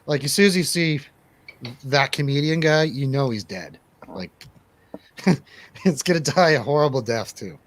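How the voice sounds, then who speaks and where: A man's voice: mid-range voice, moderate pace, a little hiss or hum. A man in casual movie review conversation, talking in an enthusiastic, slangy way. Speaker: film review show host.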